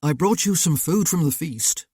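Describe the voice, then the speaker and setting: A woman reading as a guarded, brooding young gentleman, speaking in short, restrained phrases. The voice is mellow and dark, recorded close with a dry sound. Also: low voice, expressive, normal speed, husky, no echo. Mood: restrained, grim.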